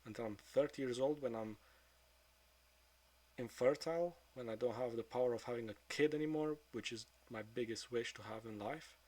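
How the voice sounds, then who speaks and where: A man of twenty-two speaking in a frank, subdued confessional monologue, swearing often and trailing off into quieter mutters. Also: medium pitch, normal speed. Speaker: vlogger.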